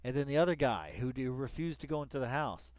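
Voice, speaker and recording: Male online host, mid-pitched, for loose article commentary; colloquial and emphatic, doubles up on phrases, dry recording. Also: lively intonation, fast talker, dry recording. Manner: slangy, colloquial, addressing absent people directly; emphatic, repeats phrases for effect. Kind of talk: casual read-along commentary on a web article.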